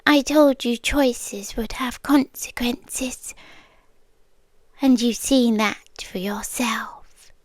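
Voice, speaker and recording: A British woman playing a possessive, calmly menacing yandere girlfriend in an intimate ASMR roleplay, breathy and often dropping to a whisper. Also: a little high, no room sound. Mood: possessive, insistent. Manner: slow, deliberate, breathy, drifting into whispers.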